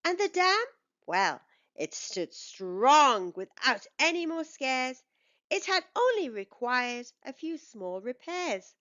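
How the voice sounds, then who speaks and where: High-pitched, animated woman reading a storybook. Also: dull audio. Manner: expressive, deliberate. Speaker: storyteller reading aloud for children. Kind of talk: rhyming picture book narration.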